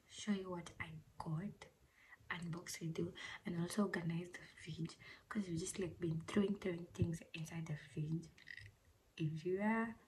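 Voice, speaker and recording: A woman vlogger, croaky from just waking up, talking in a soft half-whisper with lots of "like" fillers in a casual voice-over from her home kitchen. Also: lowish voice, a little hiss or hum, rough recording. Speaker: lifestyle vlogger.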